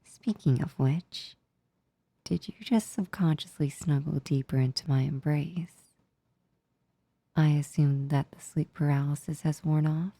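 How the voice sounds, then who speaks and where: A woman voicing a gentle, affectionate demon in an ASMR roleplay, soothing and tender, with a soft, breathy, near-whispered delivery. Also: deep voice, no room sound, low-quality recording. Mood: reassuring, affectionate. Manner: slow, soothing, tender, unhurried. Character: gentle, comforting female sleep paralysis demon, affectionate and reassuring.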